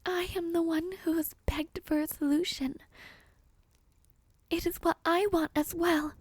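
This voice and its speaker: A woman playing a gravely ill young princess in an audio drama, gentle but resolute, with soft, breathy, formal and pleading speech. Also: very high-pitched, steady tone, unhurried, no echo. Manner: earnest, formal storybook diction. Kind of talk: audio roleplay drama acting.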